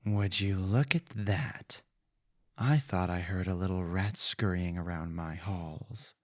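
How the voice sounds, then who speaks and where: A man's voice: low-pitched, slow, dry recording, clean studio sound. A man playing a sinister, arrogant vampire lord in a fantasy audio drama, pompous and taunting, with theatrical delivery. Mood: smug, menacing. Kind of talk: villain acting in fantasy audio roleplay. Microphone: close, dry studio-style mic.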